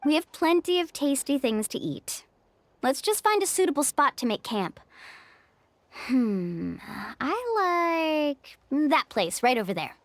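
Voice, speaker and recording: A woman's voice: sits high, big pitch swings, takes it slowly, dry recording, exaggerated voice. A woman playing an upbeat, caring young tour guide in a video game, with a reassuring, confident manner. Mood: cheerful.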